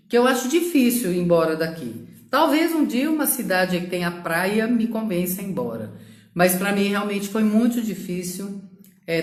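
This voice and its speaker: Reflective woman in an echoey room. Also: fairly low pitch. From São Paulo state, Brazil. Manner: reflective, many fillers and tag questions. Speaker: business owner telling her career story. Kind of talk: live social media talk.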